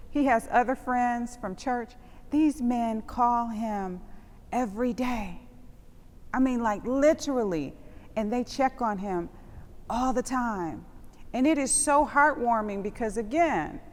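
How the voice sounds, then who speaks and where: A woman hosting a panel discussion, speaking in a personal, emphatic way. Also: a little high. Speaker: panel host. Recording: long hall reverb.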